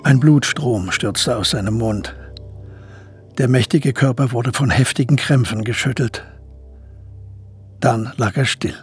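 An actor as the narrator of a historical crime radio drama, reading scripted literary third-person prose. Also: male voice, medium pitch, a little slow, pauses often, soft background music, natural voice. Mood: narrating.

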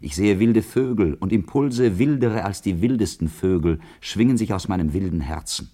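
Solemn schoolboy, very low voice. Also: male voice, animated, normal speed, mellow, husky. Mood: solemn, brooding. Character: brooding, earnest schoolboy leaving school, wild-hearted, resolute. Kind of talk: literary radio drama monologue.

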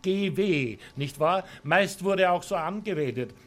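An actor with an Austrian accent playing a slick, jovial show host with a nasty streak, in a radio play. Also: male voice, high-pitched, lively intonation, measured pace, fairly bright tone. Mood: jovial, chatty. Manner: gushing, theatrical presenter patter. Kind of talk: radio drama acting as a show presenter.

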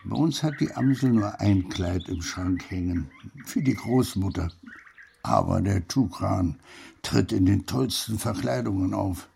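An actor playing a gruff old grandfather who tells fanciful tales in an audiobook, with a gravelly voice. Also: on the low side, a little slow, dark tone, full character voice. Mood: playful, storytelling. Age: older. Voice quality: gravelly, husky, creaky. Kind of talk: acted audiobook dialogue.

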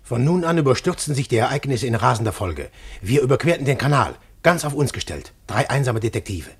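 An actor playing a witty, determined poet-detective in a radio play, speaking formally and theatrically with an ironic edge. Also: male voice, medium pitch, very animated, fairly quick, breathy edge, no room sound, heavily acted. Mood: tense narrating. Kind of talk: radio play acting and narration.

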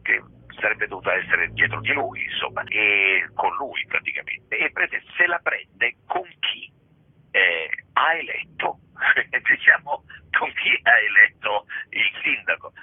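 A man, a theatre director and actor, explaining a play in a radio interview, pausing often to search for words, heard over a phone line. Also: on the low side, very animated, vocal fry, slightly rough, dry recording. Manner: searching for words, frequent pauses and hedges.

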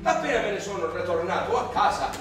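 A man's voice: high-pitched, lively intonation, fast, fairly bright tone. An actor playing an emotional, naive Sicilian peasant father, telling his story on stage in a Sicilian accent and repeating words as he rambles. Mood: agitated, fond. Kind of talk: theatrical stage monologue in dialect. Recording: echoey theatre from far off, music behind.

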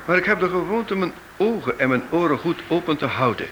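An actor playing a wry, shrewd writer and amateur sleuth in a radio drama, with a dry, probing manner. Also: male voice, a little high, expressive, fairly quick, a bit bright. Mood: frank, probing. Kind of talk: radio drama acting in Dutch. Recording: noisy old radio play recording.

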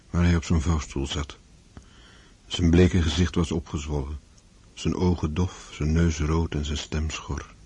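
A man as the sober storyteller of a war epic, reading scripted literary narration in a radio drama with a deep, dark, husky voice.

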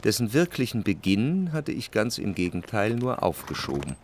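Reflective, expressive gentleman narrator. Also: lowish voice, moderate pace, very noisy. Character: reflective, uneasy gentleman narrator recalling his past. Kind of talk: literary first-person radio drama narration.

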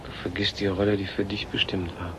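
Male actor as brooding physicist, low voice, terse, grave, radio play, heavy background noise. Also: little pitch movement, fairly quick, lightly acted. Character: brooding male physicist, idealistic and quietly rebellious. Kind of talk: 1970 German radio drama acting. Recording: very noisy old radio play recording.

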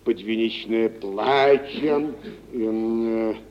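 An actor playing a wealthy middle-aged merchant, blunt and condescending, in vintage Russian stage style for radio. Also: male voice, lowish voice, drawn-out words. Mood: businesslike, commanding. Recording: dull, noisy vintage radio play recording.

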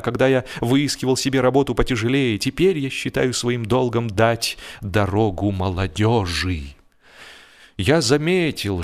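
A man narrating humorous fiction expressively, with a wry, theatrical delivery. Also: medium pitch. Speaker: audiobook narrator.

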